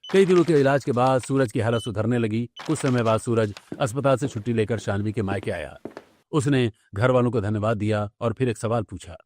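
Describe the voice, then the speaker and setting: A man's voice: mid-range voice, fairly quick, a little mellow, slight background noise. A man reading scripted story narration for a cartoon tale. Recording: background music under the voice.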